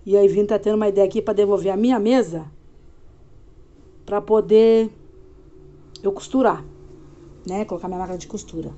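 A woman's voice: medium pitch, fairly even intonation, brisk, long pauses, mellow, muffled recording. Chatty Brazilian woman vlogging from bed. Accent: Brazilian Portuguese, Minas Gerais. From Minas Gerais, Brazil. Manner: chatty, loose, addresses viewers as galera. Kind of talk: casual home vlog chat. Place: home bedroom, lying in bed. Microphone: phone camera held close.

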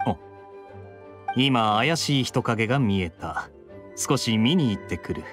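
A man's voice: medium pitch, animated, slow pace, a bit bright, soft background music. A man voicing a suave young knight captain in an anime game, teasing and nonchalant. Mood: businesslike. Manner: smooth-talking, nonchalant, wry.

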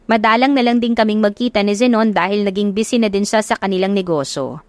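A woman's voice: medium pitch, fast talker, darkish tone, no room sound. A woman with a Filipino accent reading a scripted first-person story as an audiobook narrator.

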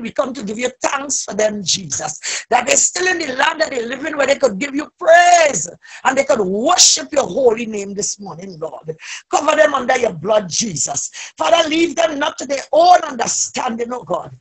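Middle-aged woman, high voice, fervent church prayer, pleading, chanted cadence with drawn-out calls. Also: animated, breathy edge, poor audio. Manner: impassioned, pleading, drawn-out calls that rise and fall. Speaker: church member leading prayer.

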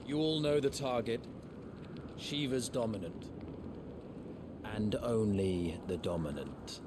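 An actor playing a stern, commanding military sergeant with terse delivery in a video game cutscene. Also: male voice, fairly low pitch, slow pace. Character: stern male military sergeant leading assassins. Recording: loud noise from game soundscape.

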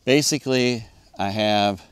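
A man's voice: lowish voice, measured pace, smooth, no room sound. A middle-aged man with an American accent, casually explaining his hobby in a plain-spoken, folksy way as a vlog host. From United States. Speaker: ham radio hobbyist and video host. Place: outdoors in an open field, partly inside a parked car.

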